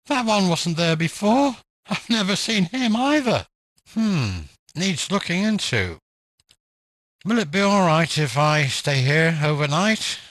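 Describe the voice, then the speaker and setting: A man's voice: sits high, sing-song, slow, fairly bright tone, no echo, pitched above the performer's own voice, full character voice. A man voicing a sceptical, determined ghost investigator in an audio play, with a musing, resolute, matter-of-fact delivery. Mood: puzzled. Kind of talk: audio-play character dialogue.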